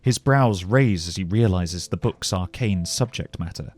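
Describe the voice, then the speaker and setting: A man narrating a scripted fantasy story as a measured, descriptive storyteller. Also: sits low, darkish tone.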